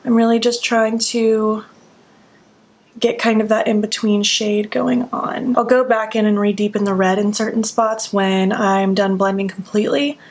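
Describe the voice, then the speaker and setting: A young woman in a casual makeup tutorial, talking softly with a sleepy early-morning voice and narrating each step as she works. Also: mid-range voice, level tone, moderate pace. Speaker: beauty vlogger. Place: at home.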